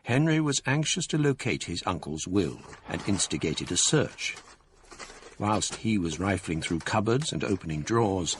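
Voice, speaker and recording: A man narrating his own past in a measured, storytelling way, as in a radio drama. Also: low voice, very animated, a little hiss or hum. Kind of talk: scripted first-person narration in a radio drama.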